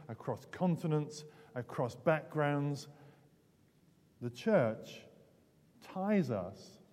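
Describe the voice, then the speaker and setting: In a long, ringing church reverb, a British man delivers a sermon in a fairly high voice. He is middle-aged and sounds like he is losing his voice. He explains in a conversational way, often hesitating and correcting himself. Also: dark tone. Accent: British English. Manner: hesitant, self-correcting, repeats words. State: losing his voice, throat clearing.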